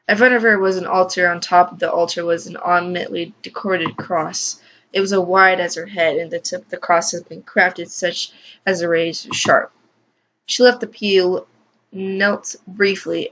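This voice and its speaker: A woman's voice: fairly low pitch, a bit bright, a little hiss or hum, rough recording. A woman hosting a gaming channel, reading a visual novel aloud and adding emotional commentary. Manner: emotional, exclaiming reactions.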